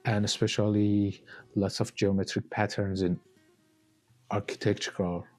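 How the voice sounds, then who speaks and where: Slow, deep-voiced Iranian man, hesitant. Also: flat delivery, darkish tone, soft background music. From Iran. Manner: hesitant, pausing with many uh fillers. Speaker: artist guest. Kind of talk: casual interview chat.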